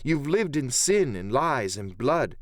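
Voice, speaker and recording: A man's voice: mid-range voice, sing-song, takes it slowly, no room sound, clean studio sound. A man voicing a bold, earnest young sailor boy with an indignant, pious manner, in a dramatised audiobook.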